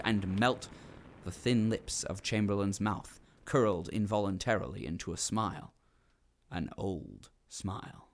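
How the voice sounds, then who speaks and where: A male narrator reading a radio drama in a measured, ominous storytelling manner. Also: lowish voice, background noise. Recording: narration over a music bed.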